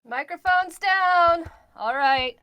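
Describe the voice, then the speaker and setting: A woman's voice: very high voice, slow pace, sharp tone, no echo, full character voice. A woman playing a flustered, obliging studio assistant in a radio play, deferential and hesitant. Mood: obedient, hurried. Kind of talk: theatrical radio play acting.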